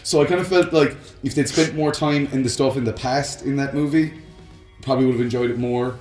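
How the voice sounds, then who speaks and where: A man's voice: medium pitch, steady tone, brisk, a little mellow. Guy rambling on a casual podcast, roomy echo, bit of music under him. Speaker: podcast co-host. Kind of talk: casual chat about video game films.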